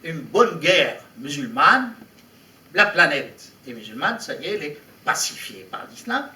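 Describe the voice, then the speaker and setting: Middle-aged male professor, bilingual, high and bright, animated lecturing with rhetorical questions, recorded in a roomy hall. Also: unhurried. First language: Arabic. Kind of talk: academic lecture reading and commenting on translated texts. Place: large hall. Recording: echoey hall, mic at a distance.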